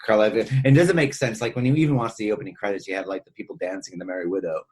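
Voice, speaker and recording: Male podcast co-host, lower-pitched, loose and rambling conversational delivery. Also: fairly even intonation, racing, darkish tone, husky. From northern New York State, United States. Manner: rambling, often says "you know". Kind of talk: casual film discussion.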